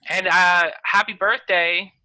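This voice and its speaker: A man's voice: high-pitched, steady tone, slow pace, bright, forceful tone, poor audio. A man hosting a podcast over a video call, chatting casually with dry, jokey remarks. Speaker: podcast host.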